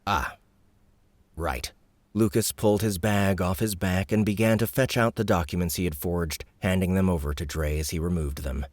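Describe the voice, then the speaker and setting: A man narrating an audiobook in an expressive storytelling manner, close to the mic. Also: low-pitched, fairly quick, few pauses, no echo. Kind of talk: scripted narration.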